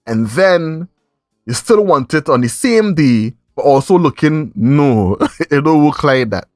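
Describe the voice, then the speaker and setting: A man's voice: fairly high pitch, expressive, unhurried, dry recording, clean studio sound, faint music behind. A man with a Trinidadian accent hosting a podcast in casual review chat, speaking emphatically and repeating phrases for effect. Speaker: podcast host.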